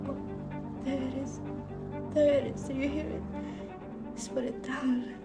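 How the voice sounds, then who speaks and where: A young woman acts out a frantic, demanding invalid woman in an amateur radio drama. The voice is very high and pleading, with melodramatic urgency and self-pity. Dramatic music plays clearly behind it. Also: fairly even intonation, measured pace. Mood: terrified, hushed. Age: young adult. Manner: melodramatic, imperious, breathless pleading. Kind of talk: amateur radio play acting.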